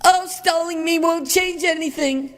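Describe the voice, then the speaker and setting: A woman's voice: very high voice, fairly even intonation, a little slow. A woman voicing a small, scheming fairy villain in a cartoon, with a breezy, mocking way of talking. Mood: smug, unafraid. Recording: long reverb, music behind.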